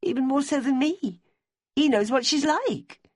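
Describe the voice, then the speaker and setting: A woman's voice: sits high, animated, a little slow, darkish tone, no echo, clean studio sound, pitched above the performer's own voice. A woman reading an audiobook, voicing an anxious young widow who talks in an urgent, pleading way, her voice raised well above her own. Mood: bitter.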